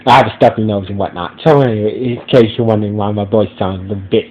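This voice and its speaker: A man's voice: lowish voice, fairly even intonation, fast, muffled recording, a little hiss or hum. A man hosting an audio review podcast, talking with a stuffy nose and rambling through many restarts and fillers. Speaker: host. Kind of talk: casual episode review podcast. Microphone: audio-only podcast recording.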